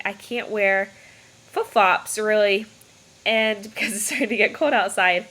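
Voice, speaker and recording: A woman's voice: medium pitch, pushed and bright, low-quality recording. A young woman vlogging casually from her bed, nasal and stuffy from a cold, chatty and rambling with frequent laughs, recorded on a laptop mic. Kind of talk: casual pregnancy update vlog. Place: bedroom, indoors. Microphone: built-in computer mic.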